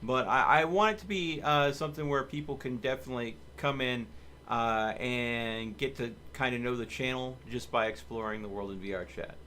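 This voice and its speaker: A man's voice: medium pitch, normal speed, sharp tone, a little hiss or hum. A man hosting a casual game livestream, chatting and thinking aloud in a rambling way. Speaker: livestream host. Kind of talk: casual chat while live streaming a video game.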